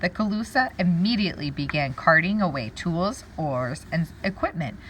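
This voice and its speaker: A woman teacher doing a read-aloud lesson, pausing to wonder aloud and explain words to her pupils. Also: fairly low pitch, animated, a bit bright, slight background noise, low-quality recording.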